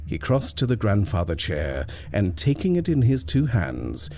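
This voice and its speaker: Slow, deep-voiced male storyteller. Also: huge pitch swings, soft background music. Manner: slow, measured, hushed at tense moments. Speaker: narrator. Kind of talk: scripted horror story reading.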